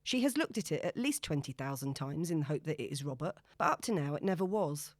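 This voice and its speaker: A woman audiobook narrator reading a novel in an expressive, dramatic storytelling manner. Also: deep voice, fast, no room sound, clean studio sound.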